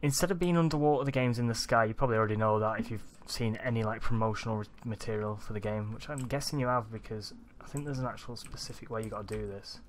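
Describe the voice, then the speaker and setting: Groggy young Mancunian gamer. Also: male voice, fast talker, few pauses, slight background noise. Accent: Manchester English. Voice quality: groggy, deep morning voice. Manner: rambling, excitable, swears often. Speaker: let's play gamer. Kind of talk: casual gameplay commentary.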